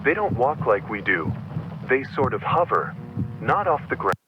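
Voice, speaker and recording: A man's voice: medium pitch, sing-song, moderate pace, background noise, lightly acted. A man playing the urgent, commanding voice of an analog horror warning, speaking in short clipped sentences. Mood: grim warning. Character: urgent male voice of an emergency warning, grim, commanding. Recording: muffled radio-like lo-fi, music underneath.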